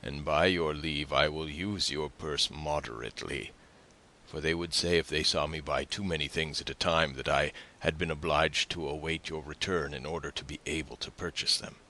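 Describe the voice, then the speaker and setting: A man voicing a tender, cautious old father in an audiobook, gentle and halting. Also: very deep voice, fairly even intonation, slight background noise, exaggerated voice. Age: older.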